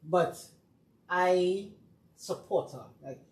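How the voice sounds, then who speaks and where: A man's voice: sits high, very slow. A man on a talk show panel chatting casually, agreeing eagerly and laughing often. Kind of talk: casual chat. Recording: echoey room.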